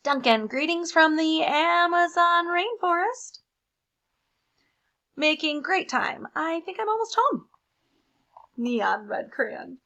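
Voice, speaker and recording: Woman voicing a travelling red crayon, storybook, cheerful, clueless, high, very animated. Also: slow pace, long pauses, full character voice. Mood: cheerful, confident.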